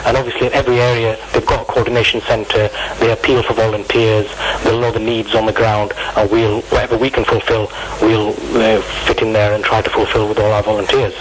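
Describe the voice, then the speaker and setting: A man's voice: lowish voice, level tone, fairly quick, few pauses. A man with a British accent giving an informal phone interview on the radio as a charity representative, earnest and modest. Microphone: telephone. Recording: noisy phone line into radio.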